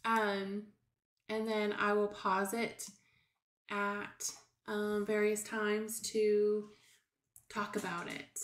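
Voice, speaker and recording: Woman, middle-aged, preschool teacher, slow delivery, simple explanations, hesitant pauses, echoey indoor recording. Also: mid-range voice, completely flat delivery. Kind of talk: read-aloud lesson for young children. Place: small room at home, indoors. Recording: roomy, echoey home recording.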